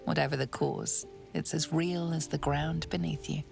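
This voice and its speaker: A woman playing a calm, intimate narrator in a fantasy game, with a creaky voice and deliberate, hushed storytelling. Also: sits low, faint music behind. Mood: solemn, tender. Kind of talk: scripted second-person game narration.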